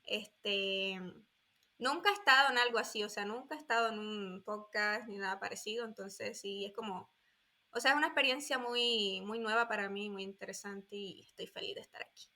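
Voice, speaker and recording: Bright-voiced young woman, hesitant. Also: medium pitch, moderate pace. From Colombia. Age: 20s. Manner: hesitant, searching for words. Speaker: podcast guest. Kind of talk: casual interview talk.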